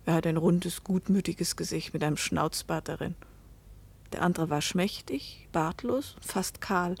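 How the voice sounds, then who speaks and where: An actress playing a young woman who narrates her own story in a radio play, reflective and measured. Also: fairly low pitch, gravelly, slightly rough, exaggerated voice. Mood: detached, amused.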